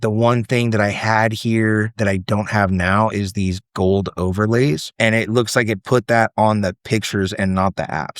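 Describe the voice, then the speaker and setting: A man's voice: lowish voice, dry recording, studio quality. A man giving a casual screen-share tutorial, explaining things informally step by step. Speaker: presenter demonstrating self-built apps.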